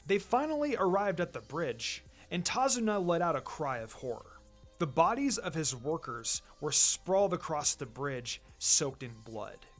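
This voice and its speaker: Animated storyteller over background music. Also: medium pitch, measured pace. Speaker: narrator, fan fiction reader. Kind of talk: dramatic scripted story narration.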